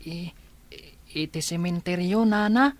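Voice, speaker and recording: A woman playing a polite, helpful orphan child in an audio drama, respectful and gentle with elders. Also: lowish voice, unhurried, no echo, close to an everyday voice. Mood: subdued. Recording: loud traffic and vehicle noise.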